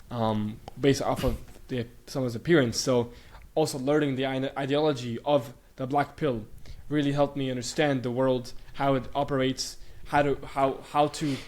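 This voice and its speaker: A man's voice: mid-range voice, a little mellow. Rambling young man, frequent fillers. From Bulgaria, raised in Sweden. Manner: rambling, many fillers and restarts. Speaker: guest. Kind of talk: casual interview chat.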